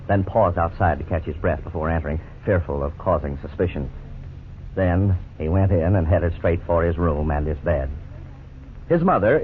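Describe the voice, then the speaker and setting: Man playing a deep-voiced radio lawyer-narrator. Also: lightly acted. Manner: measured, didactic, earnest. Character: authoritative lawyer narrating a case history. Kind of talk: 1950s radio scripted narration. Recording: tinny, noisy 1950s radio recording.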